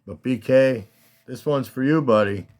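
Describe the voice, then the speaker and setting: A man with a slightly scratchy voice, casually and haltingly talking through his collection as a hobbyist host. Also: mid-range voice, darkish tone. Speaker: collector hosting a card showcase. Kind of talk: casual rambling show-and-tell talk.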